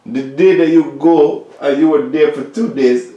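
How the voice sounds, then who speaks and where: A man's voice: on the high side, steady tone, measured pace, mellow, highs cut off. A man in his late thirties talking in an insistent, lecturing way in a casual group discussion, pressing his point on the others. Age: 30s. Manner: insistent, lecturing, keeps saying listen to me and trust me. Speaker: discussion participant. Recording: echoey room.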